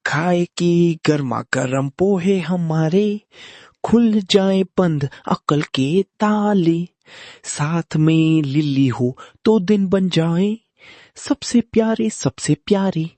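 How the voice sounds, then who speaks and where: A woman playing an older mother-in-law in a cartoon, crooning rhymes in a drawn-out sing-song way. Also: lowish voice, warm tone, no room sound. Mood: content, singing. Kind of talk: cartoon story acting.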